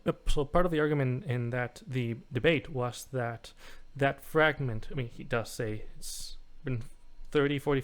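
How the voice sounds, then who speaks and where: Man, mid-pitched, hesitant podcast talk. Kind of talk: casual discussion.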